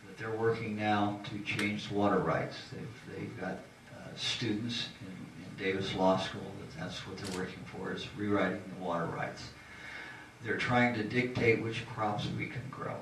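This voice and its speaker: A man makes an earnest, measured forum speech in a low voice. He is heard from a distance in an echoey room. A faint hiss sits underneath. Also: fairly even intonation.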